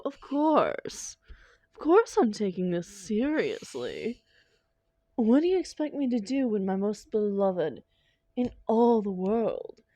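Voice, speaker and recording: A woman playing a doting, defensive supervillain lover in an intimate roleplay audio drama, coaxing theatrically with endearments and dramatic pauses. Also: mid-range voice, dark tone, no echo, muffled recording, heavily acted. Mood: defensive, pleading.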